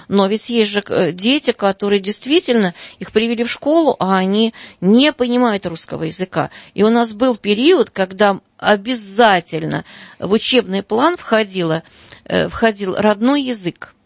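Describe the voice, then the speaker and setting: A woman's voice: medium pitch, vocal fry, no room sound. A middle-aged woman, a school director, speaking as a guest on a radio talk show, earnest and hesitant with many fillers.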